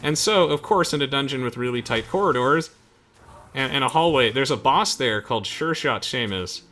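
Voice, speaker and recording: A man's voice: mid-range voice, animated, moderate pace, pushed and bright. A young man giving casual, chatty gameplay commentary to friends, explaining things with hesitant trailing asides. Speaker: gamer hosting a let's play.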